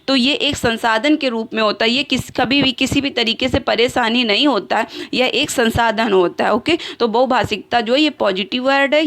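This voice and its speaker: A woman's voice: fairly high pitch, creaky, a bit bright. A woman teaching an exam-prep lesson over slides, explaining in Hindi with English terms and pairing each term in both languages, with fillers and self-corrections.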